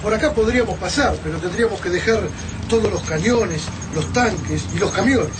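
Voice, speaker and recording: Man as inquisitive historian, radio play, slightly high voice, clear rumbling noise. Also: fairly quick, close to an everyday voice. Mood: concerned. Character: earnest, inquisitive male historian among soldiers. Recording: noisy rumbling ambience behind dialogue.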